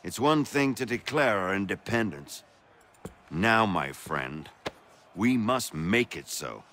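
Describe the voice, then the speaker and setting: An actor playing an earnest revolutionary statesman in a period video game, formal, grave and deliberate. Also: on the low side, big pitch swings, a bit bright, slight background noise, full character voice. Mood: resolved. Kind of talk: period drama game acting.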